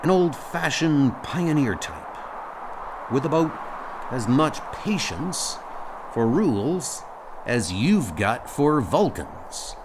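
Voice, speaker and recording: A man playing a measured, earnest, loyal starship captain in an audio comic dub. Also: mid-range voice, big pitch swings, background noise. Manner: deliberate, earnest.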